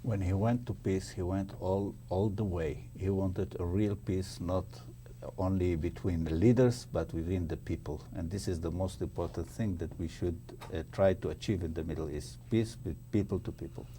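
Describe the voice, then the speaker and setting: A man from Morocco, not a native English speaker, talking as a diplomatic panel guest in a halting, careful way. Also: low voice, steady tone, mellow, a little hiss or hum. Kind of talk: diplomatic discussion.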